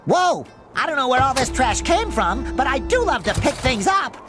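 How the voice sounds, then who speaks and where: An eager, naive young male sea sponge for a cartoon game. The voice is very high and squeaky, with lively swings in pitch. The delivery is earnest, childlike and excitable, over background music. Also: moderate pace. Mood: excited. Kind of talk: animated video game acting.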